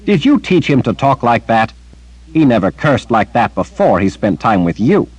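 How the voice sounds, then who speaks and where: A man voicing an older woman, a stern, sharp-tongued sorceress with a scolding, clipped manner. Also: mid-range voice, wildly swooping pitch, a little slow, breathy edge, dry recording, voice pushed up, full character voice.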